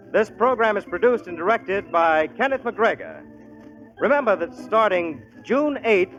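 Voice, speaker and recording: A man's voice: high-pitched, a bit bright. An American man announcing on 1940s radio, reading scripted copy in an emphatic, upbeat way, on an old muffled broadcast.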